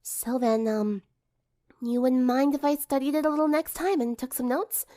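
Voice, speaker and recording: A woman's voice: high-pitched, moderate pace, warm tone, pitched above the performer's own voice, close to an everyday voice. A woman voicing a shy, bookish teenage girl who murmurs and stammers hesitantly in an audiobook story. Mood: flustered, nervous.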